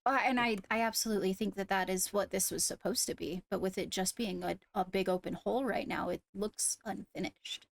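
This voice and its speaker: A woman speaking in a measured, deliberate way with formal phrasing during an improvised roleplay discussion. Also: mid-range voice, fairly even intonation, vocal fry, no echo, studio quality. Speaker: roleplay streamer playing a kingdom member.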